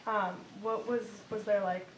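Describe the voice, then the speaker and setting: Woman asking a question at a q&a, kinda halting, echoey room w noise. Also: medium pitch, steady tone. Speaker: audience member asking questions. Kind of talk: casual spoken question.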